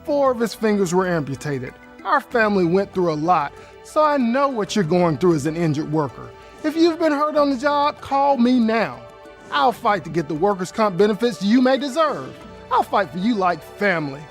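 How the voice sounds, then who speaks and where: A woman's voice: medium pitch, big pitch swings, normal speed. A woman lawyer reading a scripted personal testimonial advert aimed at injured workers. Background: music.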